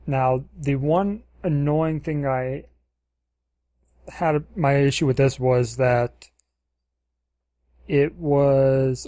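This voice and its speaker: A man chatting casually as a podcast co-host, low-key and noncommittal, hesitating as he searches for words. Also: warm tone, no echo, studio quality. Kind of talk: casual chat.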